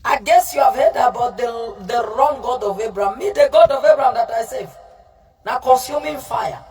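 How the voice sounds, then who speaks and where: A woman's voice: on the high side, moderate pace, darkish tone, faint music behind. Scolding woman preacher, distant mic. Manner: confrontational, scolding, repeats herself; mixes in Pidgin. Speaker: livestream preacher. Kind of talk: fiery informal sermon, answering live comments.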